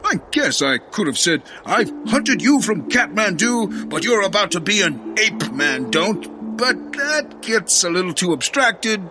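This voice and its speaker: A man's voice: high-pitched, very animated, measured pace, over music. A man playing a jolly, pompous police commissioner and adventurer in a comedic audio drama, exclaiming grandly. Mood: theatrical bravado.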